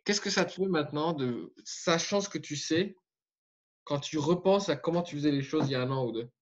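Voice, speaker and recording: A man with a fairly high voice, explaining his points in an emphatic coaching manner. The audio sounds dull and muffled. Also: a little fast. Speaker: fitness coach interviewer. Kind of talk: coaching interview.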